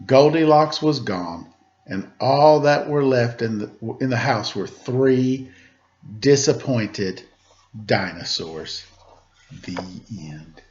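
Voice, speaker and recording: A man narrating a children's story as a storyteller, with dramatic, deliberate pauses. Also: medium pitch, animated, a little mellow, highs cut off.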